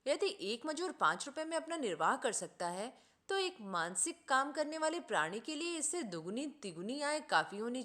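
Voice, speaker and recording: Woman reading as an earnest, animated idealist man. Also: a little high. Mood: earnest, reasoning. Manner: earnest, oratorical, formal. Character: idealistic, indignant man preaching justice and selfless service.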